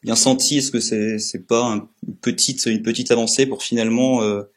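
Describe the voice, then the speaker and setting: A man from France moderating a live public talk, asking questions in a halting way and searching for words. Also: mid-range voice, fairly even intonation. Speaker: moderator, interviewer. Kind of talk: live public interview, semi-prepared questions.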